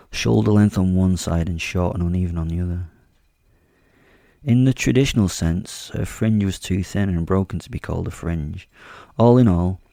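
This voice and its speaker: Male horror narrator, very deep and gravelly, mellow tone, menacing and wry. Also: no room sound, clean studio sound. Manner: brooding, wry and menacing, with repeated stumbles. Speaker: host and narrator. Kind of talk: first-person horror audio drama narration.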